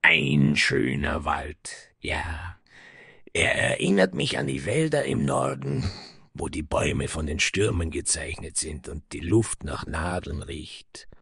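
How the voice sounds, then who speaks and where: An actor playing a somber, proud dwarf smith in a fantasy audio drama, grave and dramatic with breathy, hushed phrases and a husky voice. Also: male voice, extremely low pitch, animated, takes it slowly, dry recording, clean studio sound, exaggerated voice. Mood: grave, reflective.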